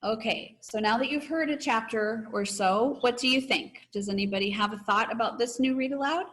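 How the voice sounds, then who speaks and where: A woman's voice: fairly high pitch, moderate pace, fairly bright tone, slight background noise. A woman teacher with an encouraging way of talking, speaking to her pupils as she would in a read-aloud class. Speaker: teacher reading aloud and leading class discussion. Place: online video class. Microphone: video call.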